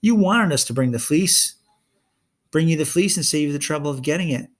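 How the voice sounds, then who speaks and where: A man's voice: fairly high pitch, lively intonation, a little fast, voice pushed up, natural voice. A man reading a storybook in the part of a bold young boy hero, blunt and challenging. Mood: accusing.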